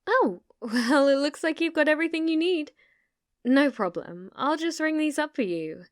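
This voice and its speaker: A British woman acting in an ASMR roleplay as a sweet, shy, secretly obsessive shop clerk, soft-spoken, hesitant and apologetic. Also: high voice, expressive, moderate pace, dry recording, clean studio sound. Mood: helpful, sheepish.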